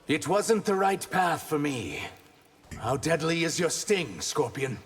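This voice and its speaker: An actor playing an earnest, guarded reptilian shapeshifter warrior in human form, trading emphatic banter in a video game. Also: male voice, a little high, very animated, takes it slowly, slightly rough, heavily acted. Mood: guarded, sincere. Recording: long reverb, faint background noise.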